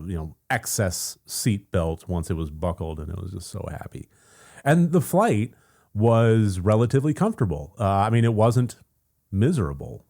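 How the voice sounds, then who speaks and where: An American man with a gravelly, just-woken-up voice, chatting casually in a vlog update, rambling with fillers and soft laughs. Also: deep voice. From United States. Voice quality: gravelly morning voice. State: just woken up, voice deeper than usual. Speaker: channel host.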